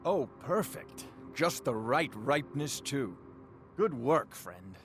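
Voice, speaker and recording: An actor playing an unruffled, friendly mead brewer in a video game, easygoing in manner. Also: male voice, medium pitch, very animated, slow. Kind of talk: video game character dialogue. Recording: music behind the voice.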